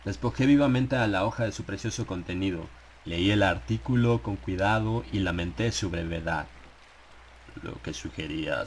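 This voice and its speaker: A man narrating a horror audiobook in a dramatized, first-person style. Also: sits low. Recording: rain and ambient noise behind. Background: rain sound effects.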